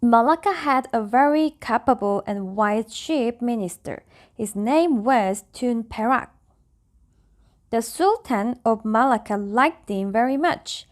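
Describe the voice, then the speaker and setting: Soft-paced Korean woman reading stories, higher voice. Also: animated, takes it slowly. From South Korea. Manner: simple non-native English with careful phrasing. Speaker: vlogger reading stories aloud. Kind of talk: soft storybook reading and casual chat.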